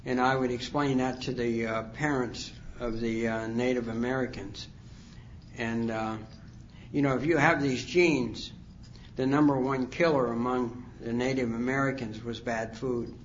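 Older man giving a halting personal testimony. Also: mid-range voice, fairly even intonation, muffled recording, slight background noise. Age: 60s. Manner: rambling, halting, many fillers. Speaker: speaker sharing his recovery story. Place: conference hall.

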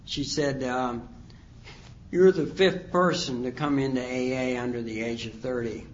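A man in his sixties speaks at a conference about his own recovery, wandering through his story with plenty of pauses and fillers. His pitch sits in an ordinary middle range. The recording sounds dull and lo-fi, with a faint hum of ambience behind him. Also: steady tone. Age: 60s. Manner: rambling, halting, many fillers. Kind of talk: personal testimony talk. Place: conference hall.

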